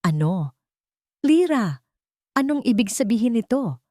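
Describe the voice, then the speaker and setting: A woman's voice: on the high side, big pitch swings, a little slow, mellow, no echo, studio quality, pitched above the performer's own voice. Woman voicing a desperate, bitter cornered man. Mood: shocked.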